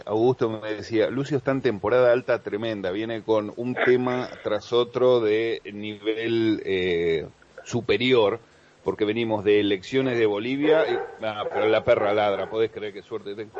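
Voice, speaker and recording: A man with a Rioplatense accent hosting a radio show, chatty and quick to interject questions. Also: on the low side, a little mellow, lo-fi sound, a little hiss or hum. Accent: Rioplatense Spanish. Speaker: radio host. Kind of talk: radio chat.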